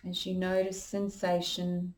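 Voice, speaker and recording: Woman, yoga meditation teacher, very slow pace, slightly low pitch, calm, gently instructing. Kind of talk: guided meditation.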